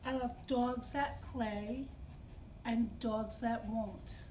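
A middle-aged woman hosting story time, speaking to young children in simple, expressive phrases. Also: on the high side, drawn-out words. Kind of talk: read-aloud and talk for young children. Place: indoor library children's room. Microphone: camera a few feet away. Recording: distant camera mic, clear background noise.

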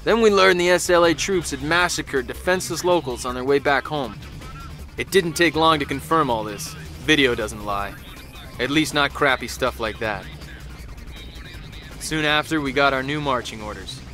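Man playing bright-voiced, cynical American soldier. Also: mid-range voice, normal speed, faint music behind, close to an everyday voice. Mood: wry, resolute. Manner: wry, colloquial, sardonic. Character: cynical, wry American male soldier narrating war. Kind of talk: dramatic scripted first-person narration.

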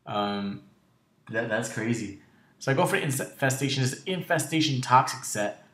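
A man hosts casual video game commentary with a raspy, sore voice that runs a little short of breath. His pitch is average, and the room adds clear echo. He is laid-back and halting as he narrates each move. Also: animated, fairly bright tone. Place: indoor room at home.

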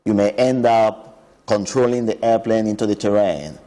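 A man's voice: fairly low pitch, fairly even intonation, moderate pace, warm tone, long reverb tail. A man, an aviation expert explaining earnestly on a TV show, often repeating words. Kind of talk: explanatory TV interview.

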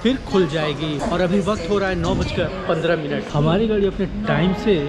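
A man narrating a train journey vlog, listing stations and facilities in a steady announcer-like way. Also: high-pitched, a little fast, over loud music. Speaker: travel vlogger. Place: aboard a moving train. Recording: loud train noise behind.

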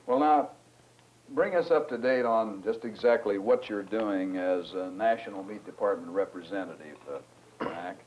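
An American man conducting a formal interview with deliberate, leading questions. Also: lowish voice, a little mellow, rough recording. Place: small wood-panelled room, across a table.

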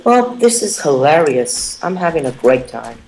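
A man's voice: a little high, very animated, measured pace, a little mellow, slight background noise, rough recording. A man chatting over voice chat while gaming, trading sarcastic jabs and panicking when his character is in danger. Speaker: gamer and channel editor. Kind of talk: casual gameplay commentary.

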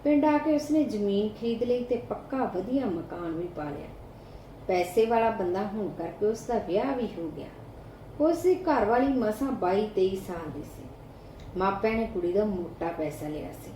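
Mellow Punjabi woman narrating in an echoey room. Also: mid-range voice, expressive, moderate pace. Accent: Indian Punjabi. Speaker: audiobook narrator. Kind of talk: scripted short story narration. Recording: roomy echo, faint background noise.

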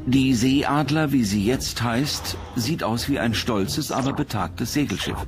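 A man's voice: medium pitch, a little slow, over music. A man reading scripted documentary narration as the narrator.

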